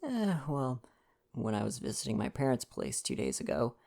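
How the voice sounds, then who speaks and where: Gentle young man with a creaky, mellow voice. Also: medium pitch, wildly swooping pitch, moderate pace. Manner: gentle, playful, affectionate. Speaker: ASMR roleplay performer and reader. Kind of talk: intimate ASMR boyfriend roleplay, casual chat and novel reading.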